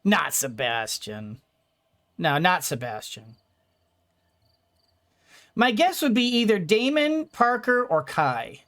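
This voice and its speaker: A game streamer talks casually at a very slow pace in a middling pitch. The delivery rambles, as if thinking aloud while playing. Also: big pitch swings, a bit bright. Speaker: let's play streamer.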